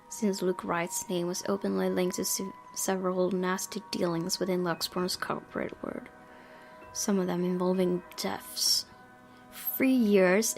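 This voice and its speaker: A woman's voice: medium pitch, gravelly, a little mellow. A woman game streamer reading visual novel narration aloud expressively, dropping in her own asides.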